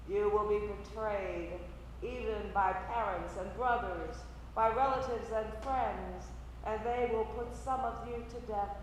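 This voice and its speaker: A woman deacon reading scripture solemnly, with measured and deliberate phrasing. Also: mid-range voice. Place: large reverberant church. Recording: echoey church hall from far off, noisy.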